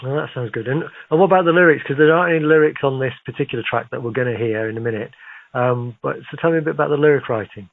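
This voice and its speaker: A man's voice: medium pitch, very fast, no echo. Phone-like male radio interviewer. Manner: encouraging, affirming. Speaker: radio interviewer, show host. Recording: narrow phone-like radio sound.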